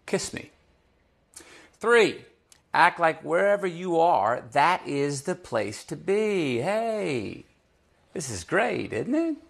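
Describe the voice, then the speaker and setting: A man's voice: fairly high pitch, sing-song, slow pace, gravelly, fairly bright tone, full character voice. A man playing a cocky teenage ladies' man, giving showy, emphatic advice in a comic script read. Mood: cocky, persuasive. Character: cocky smooth-talking teenage boy, self-styled ladies' man. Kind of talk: comic screenplay table read.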